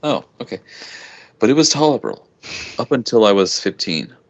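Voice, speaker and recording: A man reading a first-person story aloud informally, halting now and then with loose asides. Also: on the low side, big pitch swings, creaky. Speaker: amateur fan fiction reader. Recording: dull lo-fi home recording.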